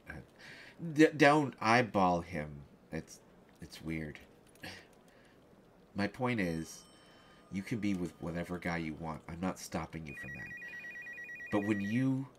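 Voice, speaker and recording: Man acting a low-voiced, stammering, lovestruck journalist. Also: a little hiss or hum. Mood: flustered, then earnest, jealous. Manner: stammering, halting, hesitant. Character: flustered, lovestruck young male journalist hiding a secret. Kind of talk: one-sided audio roleplay acting.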